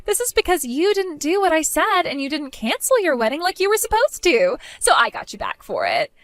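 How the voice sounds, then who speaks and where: A woman voicing a spiteful, bratty young woman in an animated story, with a mocking, whiny, shrill manner. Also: a little fast, no room sound, full character voice.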